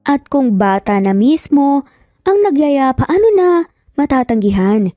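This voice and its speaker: A woman's voice: high-pitched, big pitch swings, a little slow, warm tone, dry recording, clean studio sound. A woman giving a scripted, dramatic narration as a storyteller. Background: music.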